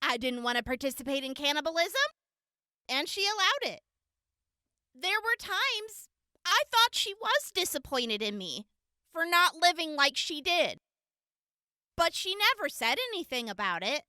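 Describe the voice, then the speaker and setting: A woman playing a gentle, earnest young wasteland medic giving courtroom testimony in an audio drama, speaking deliberately with weighty pauses. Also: sits high, big pitch swings, no room sound, studio quality, full character voice. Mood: steady, defensive.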